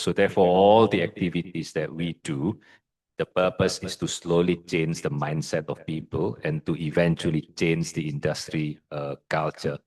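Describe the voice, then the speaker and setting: A man with an Indonesian accent answers questions from a lecture audience. His voice sits low and slightly husky. He speaks in a measured, hesitant way, with frequent fillers and restarts. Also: rough recording. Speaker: guest lecturer.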